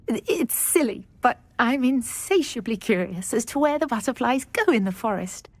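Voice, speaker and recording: High-pitched, genteel British witch. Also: lively intonation, normal speed, no room sound. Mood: wistful, genteel. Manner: genteel, fluttery, wistful. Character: gentle, wistful, timid middle-aged witch, fond of butterflies. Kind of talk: video game voice acting.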